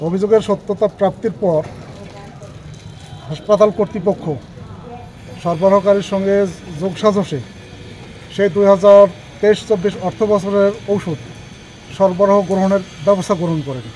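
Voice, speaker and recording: A man's voice: high voice, fairly even intonation, moderate pace, long pauses, a little mellow, slight background noise, low-quality recording. A man, an anti-corruption official, giving a formal, bureaucratic statement to the press outdoors at a hospital.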